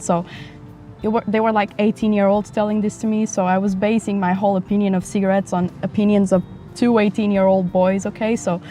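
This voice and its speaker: A woman in her twenties from Portugal, chatting frankly in a casual vlog, her voice a bit raspy from a dry throat, recorded outdoors near a highway. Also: warm tone. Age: 20s. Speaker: vlogger. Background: passing motorcycles and traffic.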